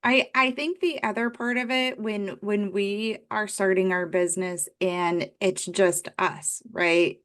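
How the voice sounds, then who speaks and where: A woman coach talks earnestly about faith and pricing, thinking out loud and using many fillers. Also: medium pitch, steady tone, measured pace, hardly any pauses. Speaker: business coach. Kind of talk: faith-based business coaching talk.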